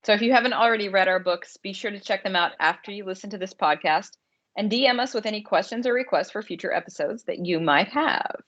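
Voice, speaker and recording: A woman's voice: medium pitch, fast talker, a bit bright, dull audio. A woman co-hosting a podcast about romance writing, chatting casually as an author.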